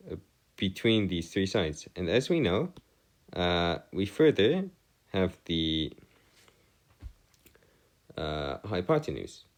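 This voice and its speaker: A man with a low voice teaches math at a slow pace. His intonation swings widely as he goes. He speaks in formal, measured phrases and pauses deliberately while explaining each step. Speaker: math tutor. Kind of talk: explanatory math lesson over worked diagrams.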